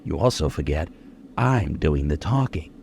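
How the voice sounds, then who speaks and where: A man's voice: very deep voice, lively intonation, a little slow, vocal fry, darkish tone, slight background noise, heavily acted. An actor in an old-time radio crime drama playing a cocky, bitter gunman with menacing, taunting, slangy tough talk. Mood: taunting, smug. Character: cocky bitter gunman confessing his life story.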